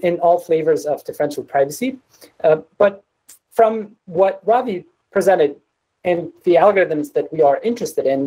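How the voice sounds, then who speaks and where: A woman giving a technical research talk over a video call, measured and explanatory with hesitant uhs. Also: low voice, mellow, slightly rough, rough recording.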